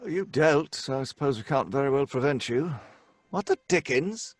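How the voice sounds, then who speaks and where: A man playing a dry, teasing English gentleman in an old-fashioned radio drama, clipped and with an English accent. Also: medium pitch, animated, normal speed, dry recording, natural voice. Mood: wry. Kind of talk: 1930s-style radio drama acting.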